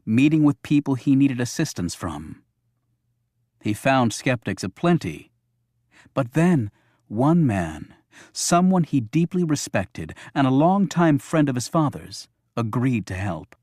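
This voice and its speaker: Guy narrating a thriller audiobook, mid-pitched voice, super dry sound. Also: darkish tone, no room sound.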